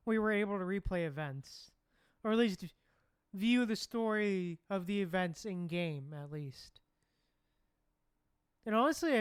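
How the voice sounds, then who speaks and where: Casual woman streamer, chatty reactor; smooth, resonant tone, dry close-mic sound. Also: lowish voice, no echo. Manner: chatty, laughs often, answers chat. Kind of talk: casual live-stream commentary.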